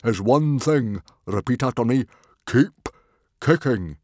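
A man's voice: medium pitch, expressive, moderate pace, darkish tone, no room sound, clean studio sound, pitched above the performer's own voice. A man voicing a huge, hearty Viking chief who roars his words with boisterous cheer. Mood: rousing.

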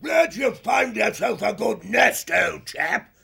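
A man's voice: high voice, expressive, slow pace, gravelly, bright, forceful tone, breathy edge, pitched above the performer's own voice, full character voice. A man voicing a bluff, pompous hare with old-fashioned officer's bluster in an audiobook. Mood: blustering, sarcastic. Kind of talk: audiobook character voice.

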